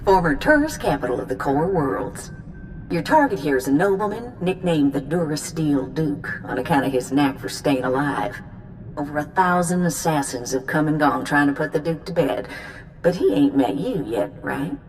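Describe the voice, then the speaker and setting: A woman's voice: low voice, expressive, normal speed, a little hiss or hum, low-quality recording. A woman playing a breezy, wryly tough mission contact giving a folksy briefing in a video game. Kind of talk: video game cutscene acting.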